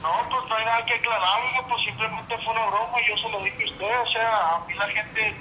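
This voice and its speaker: A man's voice: high-pitched, fairly even intonation, fast, bright, forceful tone, reverberant, slight background noise, rough recording. Defensive man on speakerphone. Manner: defensive, explaining himself. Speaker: prank target on the phone.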